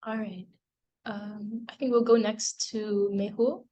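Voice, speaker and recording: A woman's voice: medium pitch, little pitch movement, slow, mellow, dull audio. A woman moderating a student transfer panel in a casual way, with frequent "like" and "um". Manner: casual, filler-heavy.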